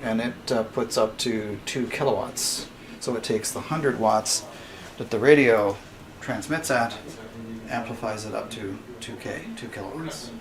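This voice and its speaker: A man gives an informal explanatory walkthrough of a radio station, speaking in a halting, matter-of-fact way. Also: mid-range voice. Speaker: radio club member giving a station tour. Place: indoor amateur radio station room. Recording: roomy, noisy recording, distant microphone.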